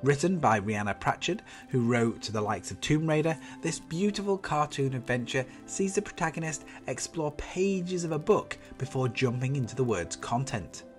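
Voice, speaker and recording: Stuffy-nosed man narrating a lively game roundup. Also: mid-range voice, normal speed, few pauses, faint music behind. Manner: enthusiastic, upbeat review delivery. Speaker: games channel host. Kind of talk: scripted voice-over game roundup.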